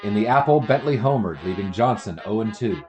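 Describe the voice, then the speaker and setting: A man acts a 1920s newspaper sportswriter for an audio drama. The voice sits fairly low, dry and measured, wry yet earnest, with music playing underneath. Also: expressive, a little mellow, lightly acted. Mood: nostalgic, lyrical. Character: wry, earnest 1920s male sportswriter narrating his memoirs. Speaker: narrator and lead character. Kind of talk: audio drama acting, lyrical narration.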